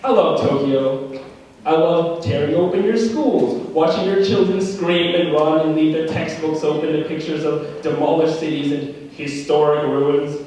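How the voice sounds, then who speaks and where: A young man performs a slam poem in a school room. His delivery is dramatic, jumping from loud cries down to low, hushed lines, and his voice sits on the high side. He is heard from a distance in a strongly echoing room. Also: steady tone, measured pace, slight background noise. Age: young adult. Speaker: slam poet. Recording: very echoey room, voice from far off.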